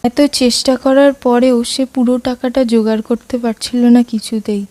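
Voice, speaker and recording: A woman's voice: fairly high pitch, fairly even intonation, normal speed, mellow, dry recording. A woman narrating a scripted cartoon story.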